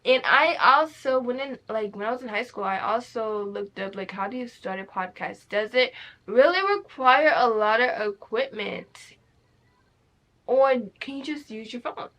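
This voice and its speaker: Young woman podcaster, kinda high voice, rambly and blunt, cusses a lot. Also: unhurried, a bit bright. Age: young adult. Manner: rambling, candid, swears freely. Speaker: podcast host. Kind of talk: casual solo podcast talk.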